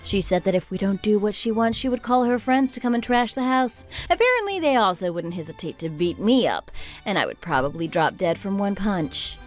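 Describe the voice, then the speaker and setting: Woman acting an exasperated, biting wife, mid-pitched voice. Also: big pitch swings, brisk, soft background music, close to an everyday voice. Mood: indignant, sarcastic. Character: indignant, sarcastic wife at the end of her patience. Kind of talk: first-person dramatized story narration.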